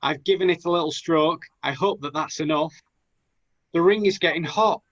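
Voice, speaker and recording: A man's voice: fairly high pitch, moderate pace, fairly bright tone, highs cut off. A man playing a plucky, naive young Aladdin in a rhyming pantomime, with an earnest theatrical delivery. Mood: uncertain. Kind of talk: pantomime acting.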